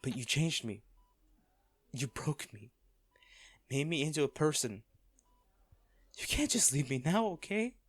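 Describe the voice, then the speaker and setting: Slow-spoken, heartbroken man pleading, acted by a man. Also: fairly high pitch, sing-song, slightly rough, no room sound, full character voice. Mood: grieving, broken. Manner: halting, intimate, earnest pleading. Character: ruthless man pleading tearfully at comatose lover's bedside. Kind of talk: romantic audio roleplay monologue.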